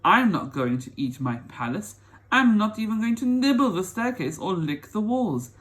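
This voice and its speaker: A man reading a children's story as a proud, stubborn prince, indignant and haughty, shouting his refusals. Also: sits high, sing-song, moderate pace, pitched above the performer's own voice. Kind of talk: children's storybook read-aloud.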